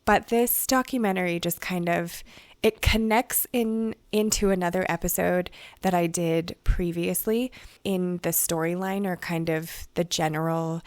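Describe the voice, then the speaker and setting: A woman hosting a solo podcast, her voice raspy and hoarse from crying, talking in a chatty, rambling way. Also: fairly low pitch, fairly even intonation, measured pace, dry recording. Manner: chatty and rambling, many "like" and "you know". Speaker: podcast host. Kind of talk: casual solo podcast review.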